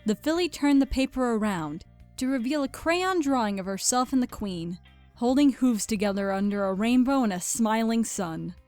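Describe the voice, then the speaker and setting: A woman's voice: on the high side, animated, moderate pace, a little mellow, faint music behind. A woman reading scripted story narration as the narrator of an audio drama.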